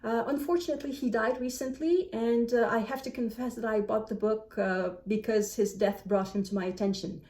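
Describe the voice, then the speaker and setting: A woman book vlogger chatting casually to camera in an animated, self-deprecating way. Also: fairly high pitch, a little fast, few pauses. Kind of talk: casual chat to camera, answering book prompts. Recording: echoey room, distant microphone.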